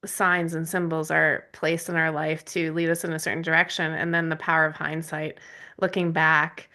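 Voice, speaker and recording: A woman hosting an interview, asking questions and warmly affirming her guest. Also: deep voice, level tone, normal speed. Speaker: host. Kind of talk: casual interview.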